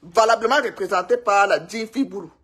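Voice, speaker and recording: Cameroonian woman vlogger, husky breathy voice, excitable, chatty gossip to camera. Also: medium pitch, brisk. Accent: Cameroonian French. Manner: emphatic, excitable, repeats herself for effect. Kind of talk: casual gossip monologue to viewers.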